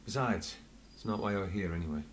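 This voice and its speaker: An actor playing an authoritative, guarded inspector in a video game, speaking in a formal, measured and commanding manner. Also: male voice, sits low, slight background noise, close to an everyday voice. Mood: subdued. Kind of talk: scripted video game acting.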